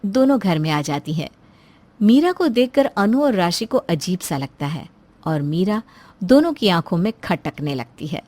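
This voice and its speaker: A woman's voice: on the low side, sing-song, a little slow, darkish tone. A woman narrating a scripted family moral story as its storyteller.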